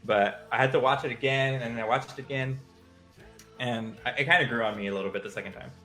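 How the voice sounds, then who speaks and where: A man's voice: mid-range voice, fast, sharp tone, soft background music. A man co-hosting a live stream, chatting in an informal, conversational way. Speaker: co-host. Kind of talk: casual chat on a live stream.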